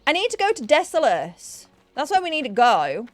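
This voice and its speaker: Woman, Yorkshire accent, young adult, game streamer, chatty and rambling, bright higher voice, dry close sound. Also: wildly swooping pitch, moderate pace, no echo. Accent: Yorkshire English, with some Newcastle influence. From Yorkshire, England. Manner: chatty, thinks aloud, self-deprecating, frequent restarts. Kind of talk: casual live-stream chat while playing an online game.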